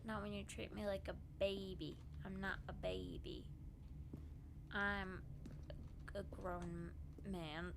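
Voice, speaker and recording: A woman's voice: mid-range voice, creaky, noisy background. A young woman with a stuffy nose, playfully teasing a game as she streams. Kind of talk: casual gameplay commentary.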